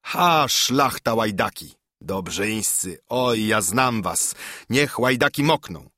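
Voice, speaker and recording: A haughty, greedy army major from a storybook, acted out by a male reader. He blusters and sneers with angry menace. His voice swings widely in pitch, close and dry. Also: big pitch swings, unhurried, a bit bright, dry recording.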